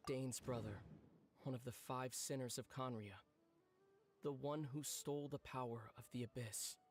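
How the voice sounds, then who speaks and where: A man's voice: mid-range voice, fairly even intonation, normal speed, natural voice. An actor playing a solemn, brooding man who pronounces fateful lore in a game, in a grave, portentous manner. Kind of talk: game voice acting.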